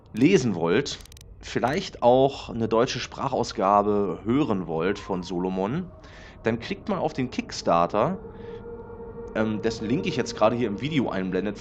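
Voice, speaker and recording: A man hosting a let's play in casual, rambling commentary with many filler sounds, his voice slightly hoarse from a cold. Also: mid-range voice. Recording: dull lo-fi mic with faint noise.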